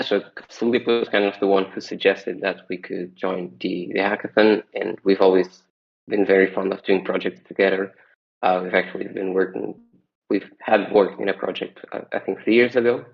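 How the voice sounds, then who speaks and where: Man from Portugal, panel guest: low, gravelly and flat, calm explainer on a muffled call line. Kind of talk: casual explanation. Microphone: video call. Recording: dull lo-fi video call.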